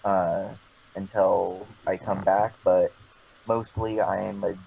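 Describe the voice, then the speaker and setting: A man's voice: low voice, little pitch movement, takes it slowly, vocal fry, dark tone, slightly rough, rough recording. A man chatting casually as a player in an online tabletop game over a voice call. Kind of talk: casual chat. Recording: faint background noise on voice call.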